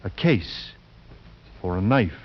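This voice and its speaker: A man narrating a 1940s radio suspense drama in a measured, grave, ominous manner with deliberate pauses. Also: deep voice, animated. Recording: muffled vintage radio recording, music behind.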